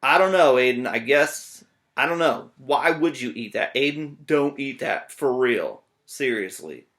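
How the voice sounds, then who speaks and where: A man reading a children's story aloud as a teacher, expressive and full of playful joking asides. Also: mid-range voice, measured pace.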